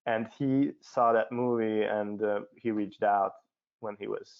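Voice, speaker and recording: Low-voiced Hungarian man, halting. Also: steady tone, creaky. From Budapest, Hungary. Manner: halting, repeats words. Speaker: cinematographer, interview guest. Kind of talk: unscripted panel conversation. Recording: muffled lo-fi online call.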